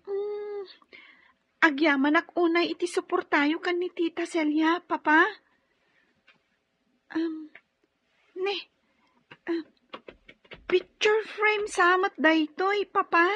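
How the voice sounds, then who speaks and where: Casting: sweet young Filipino daughter, very high girlish pitch, respectful and gentle, for radio drama. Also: takes it slowly, long pauses, dull audio, full character voice. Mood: grateful, curious.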